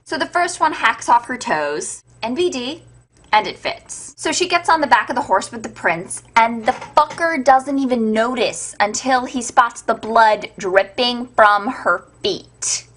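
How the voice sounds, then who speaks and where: A woman's voice: a little high, lively intonation, unhurried, fairly bright tone. A woman host giving an irreverent, sarcastic, sweary comedic retelling of fairytales as scripted narration. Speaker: storyteller and web show host.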